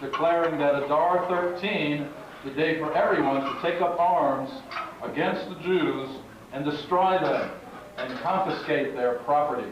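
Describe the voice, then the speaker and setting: A man's voice: on the high side, measured pace. A man narrating a puppet show as scripted storytelling, in a declamatory, folksy way. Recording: very echoey, distant, dull, noisy live recording.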